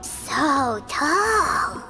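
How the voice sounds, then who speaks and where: A woman voicing a small, childlike fairy companion in a video game, curious and blurting, with a squeaky voice. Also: extremely slow, gravelly, full character voice. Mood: flustered. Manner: expressive, refers to self by name. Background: game music.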